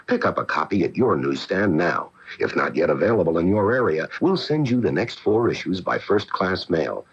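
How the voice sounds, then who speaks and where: Deep husky American radio pitchman, swooping delivery. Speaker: commercial announcer. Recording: dull old AM radio recording.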